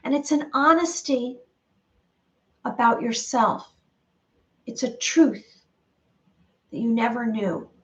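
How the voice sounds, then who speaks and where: Soft-paced woman guiding a meditation. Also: fairly high pitch, expressive, far from the mic. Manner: slow, repetitive, soothing guidance with pauses. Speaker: meditation guide. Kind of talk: guided visualization meditation.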